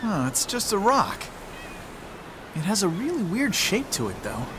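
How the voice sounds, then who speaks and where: A man's voice: high voice, wildly swooping pitch, unhurried, noisy background, exaggerated voice. A man voicing an easygoing, wisecracking young man in a video game, laid-back and teasing. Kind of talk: video game cutscene voice acting.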